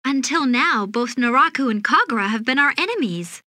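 Bright, high spirited teen girl. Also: expressive, moderate pace, exaggerated voice. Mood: wary. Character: spirited, forthright teenage girl. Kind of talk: anime video game voice acting.

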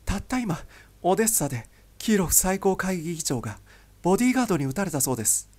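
A man's voice: high-pitched, very animated, quick pace, a little mellow, pitched above the performer's own voice. Male reader as alarmed doctor, urgent report, shaken, audiobook thriller.

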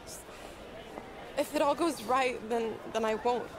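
A woman's voice: a little high, unhurried, a loud crowd, heavy background noise, natural voice. An actress playing a sincere young woman athlete saying farewell in a video game cutscene. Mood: wistful, tender. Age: young adult.

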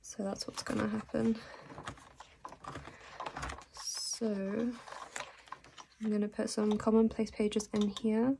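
A young woman who is sick and losing her voice, talking softly in a rambling casual voice-over about her journal. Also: mid-range voice, monotone, noisy background. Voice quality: hoarse. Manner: soft, unhurried and rambling with little laughs. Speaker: vlogger.

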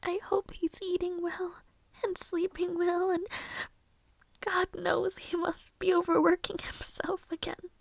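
A woman playing a sorrowful, lovelorn young maid in an audio roleplay monologue, soft and breathy, often whispering, with hesitant pauses. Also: very high-pitched, little pitch movement, no room sound, exaggerated voice, poor audio. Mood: wistful, longing. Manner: hesitant, slow, emotional pauses.

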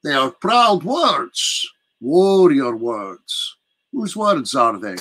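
A man voicing a slow, imperious old vampire prince. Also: fairly high pitch, big pitch swings, fairly bright tone, slight background noise, full character voice. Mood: musing. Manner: slow, deliberate, commanding. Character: aged aristocratic male vampire prince, menacing.

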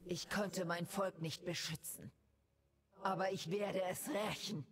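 A woman playing a vengeful, merciless elven leader in a video game, speaking gravely and with command. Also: on the low side, steady tone, a little slow. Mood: grim, vengeful.

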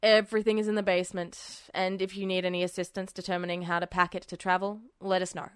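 A woman voicing tired adult policemen, gruff and polite, in a storybook reading. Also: level tone, quick pace, dry recording, studio quality, lightly acted. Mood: tired, formal. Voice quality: deep, hoarse with sleep. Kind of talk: fan fiction audiobook character voice.

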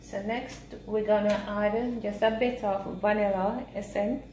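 A woman giving a casual, step-by-step home recipe demonstration in simple, unhurried instructional talk. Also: medium pitch, steady tone, darkish tone. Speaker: home cooking vlogger. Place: small home kitchen, indoors. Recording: roomy echo, clear background noise.

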